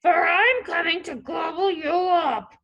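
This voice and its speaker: A woman voicing a mean, ugly storybook troll who bullies and roars in drawn-out shouts. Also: high-pitched, lively intonation, vocal fry, breathy edge, dull audio, heavily acted. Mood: menacing roar. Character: mean, ugly, roaring troll, bullying and hungry.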